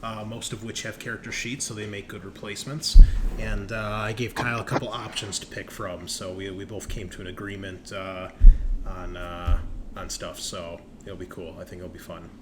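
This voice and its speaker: Chatty man hosting, over clear background noise. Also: lowish voice, level tone, moderate pace. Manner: chatty, informal, jokey. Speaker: host and game master of a tabletop roleplaying show. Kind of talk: casual podcast introduction and banter.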